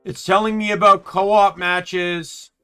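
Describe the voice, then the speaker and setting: Bright-voiced young man chatting while gaming. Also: high voice, little pitch movement, slow pace, clean tone, faint music behind. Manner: rambling, self-correcting. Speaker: streamer. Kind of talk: casual gameplay chat. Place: home room.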